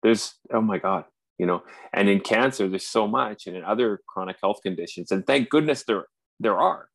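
A Canadian man in his forties with a very low voice, chatting over a remote call. He talks earnestly, stopping and restarting his phrases often. The sound is dry and close. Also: creaky, dry recording. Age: 40s. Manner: earnest, halting with frequent restarts. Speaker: podcast host. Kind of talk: casual conversation.

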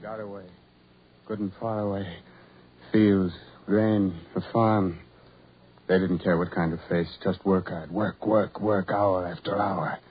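An actor playing a lonely, self-loathing ugly farm labourer in a 1940s radio horror drama, with halting, repetitive, brooding delivery. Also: male voice, deep voice, fairly even intonation. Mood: brooding, haunted. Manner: halting, repetitive, brooding, building to anguished outbursts. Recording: muffled, tinny 1940s radio recording.

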